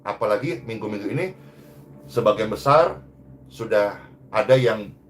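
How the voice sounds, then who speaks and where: A man preaching a sermon as a pastor, exhorting emphatically and moving between loud rises and hushed phrase endings. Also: lowish voice, expressive, moderate pace, slight background noise.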